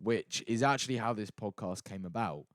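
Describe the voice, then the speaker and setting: A teenage boy hosting a casual podcast chat, relaxed and steering the conversation between topics. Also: lowish voice, moderate pace, dry recording, studio quality. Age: teens. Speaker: podcast host.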